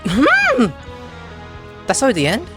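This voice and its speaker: A woman's voice: high-pitched, huge pitch swings, unhurried. A woman doing casual gameplay commentary, muttering offhandedly and breaking into sudden exclamations. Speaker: gaming video host. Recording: game music behind voice.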